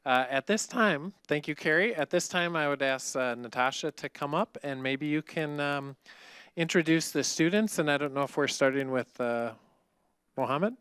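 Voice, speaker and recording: A man speaking as a school district administrator at a board meeting, talking in a measured way with frequent fillers. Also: a little high, a bit bright, clear, resonant tone, no room sound. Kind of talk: formal meeting remarks.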